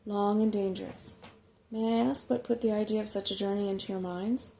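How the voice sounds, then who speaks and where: A bustling old male rat from a bedtime storybook, acted out by a woman. The voice is mellow and crackly, fussy and emphatic, full of know-it-all chattiness. A light background noise sits under it. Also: mid-range voice, level tone, brisk, vocal fry, natural voice. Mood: chatty, confident.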